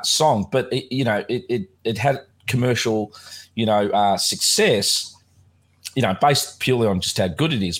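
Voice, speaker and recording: A man with a somewhat low, slightly croaky voice hosts a relaxed music chat. He rambles along with plenty of fillers and restarts. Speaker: podcast host.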